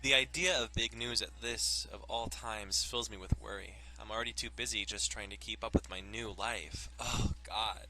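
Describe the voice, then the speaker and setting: A man's voice: fairly low pitch, fairly even intonation, moderate pace, hardly any pauses, pushed and bright, poor audio. A man hosting a let's play, chatting casually, joking and swearing, and reading visual novel text aloud. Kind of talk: casual gaming commentary with read-aloud narration.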